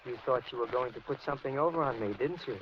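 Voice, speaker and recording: A muffled, noisy vintage radio suspense recording. A man acts out a menacing American intruder who speaks quickly and with cold control, taunting and threatening his captive. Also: medium pitch, lightly acted. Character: menacing male intruder holding an old woman captive.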